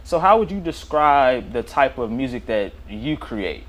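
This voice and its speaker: A man's voice: medium pitch, big pitch swings, unhurried. A man hosts a casual interview podcast with a laid-back, easygoing delivery. He tends to repeat his phrases as he reacts and asks questions. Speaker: podcast host.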